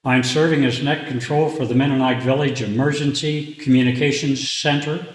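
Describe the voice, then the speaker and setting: A man reading scripted instructional material and radio procedures in a deliberate, procedural way. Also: medium pitch, fairly even intonation, low-quality recording. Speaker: training presenter and radio net controller in demonstration.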